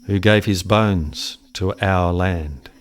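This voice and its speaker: Slow, brooding settler man. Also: deep voice, clean tone. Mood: brooding, haunted. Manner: deliberate, brooding, poetic. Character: haunted settler son recalling his lost brother. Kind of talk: dramatic radio play narration.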